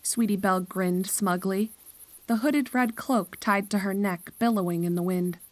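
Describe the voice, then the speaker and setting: A woman's voice: medium pitch, steady tone, moderate pace, a little mellow, no room sound. A woman narrator reading a scripted horror story in a storytelling style.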